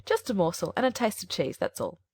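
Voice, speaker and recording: Demure older servant, cool and quick with a lively lilt and a dry close sound, as a woman narrator puts her on. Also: on the low side, breathy edge, dry recording, full character voice. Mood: composed. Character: composed, secretive older female servant. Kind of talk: audiobook character voice.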